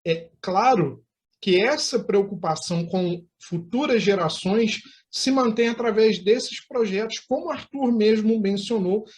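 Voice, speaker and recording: A man with a Brazilian accent hosting a conversational interview, phrasing things in a wordy, formal way. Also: high-pitched. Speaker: interview show host.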